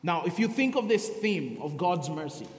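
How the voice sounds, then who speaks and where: A man's voice: sits high, big pitch swings, moderate pace. A man from Kenya with a Kenyan accent, preaching a sermon and sharing his testimony in earnest. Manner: earnest testimony, reading scripture aloud. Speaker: guest preacher. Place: church sanctuary. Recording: echoey church hall.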